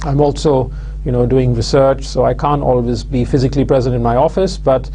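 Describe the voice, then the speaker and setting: A man, giving a recorded university lecture in a measured, hesitant way full of "uh" and "um" fillers. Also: medium pitch, steady tone, warm tone, slight background noise. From London, England. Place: studio with plain backdrop.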